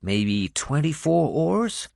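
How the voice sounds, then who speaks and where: A man's voice: fairly high pitch, very animated, slow pace, vocal fry, darkish tone, no echo, studio quality, pitched above the performer's own voice, heavily acted. A man voicing a wry, anxious warrior companion in an audiobook adventure tale. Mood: concerned. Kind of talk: audiobook character dialogue.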